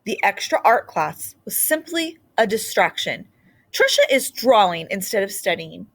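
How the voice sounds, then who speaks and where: A woman's voice: medium pitch, sing-song, unhurried, fairly bright tone, exaggerated voice. Scornful school principal, read by a woman. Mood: dismissive. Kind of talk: storybook read-aloud dialogue.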